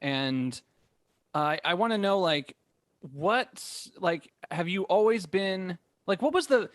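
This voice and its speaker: A male podcast host asks easygoing, rambling questions in a casual interview. His voice sits fairly high for a man. The sound is dry and close. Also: animated, slow, a bit bright, no echo.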